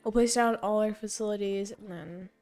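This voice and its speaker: Young female streamer, mid-pitched and slightly hoarse, rambling game commentary over soft background music. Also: steady tone, normal speed. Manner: thinking aloud, rambling and self-correcting. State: voice fading, hoarse. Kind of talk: casual gameplay commentary.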